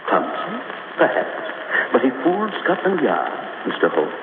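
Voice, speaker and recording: An actor playing a gloating criminal mastermind with mock-polite, measured menace, in 1940s radio style. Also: male voice, medium pitch, huge pitch swings. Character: gloating, coldly courteous male criminal mastermind. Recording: noisy, echoing, tinny 1940s radio recording.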